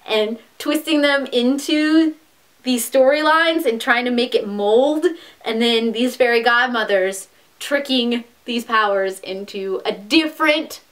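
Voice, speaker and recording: A woman's voice: on the high side, a little slow, fairly bright tone. A young woman talking casually to camera, recommending books she loves with chatty enthusiasm and the odd restart. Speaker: book vlogger. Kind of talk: casual book recommendation vlog.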